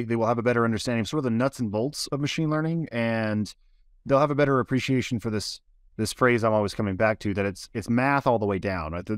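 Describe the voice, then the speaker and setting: A man's voice: medium pitch, no echo, clean studio sound. A man hosting a podcast, introducing the episode and his guest in an earnest, explanatory way, often repeating words as he starts a phrase. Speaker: podcast host.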